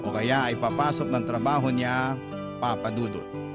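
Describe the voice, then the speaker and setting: An earnest salon owner looking back on their own story, voiced by a man in a radio drama. The voice is mid-pitched and reminiscing, with loud guitar music underneath. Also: steady tone, moderate pace, natural voice. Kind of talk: first-person radio drama narration.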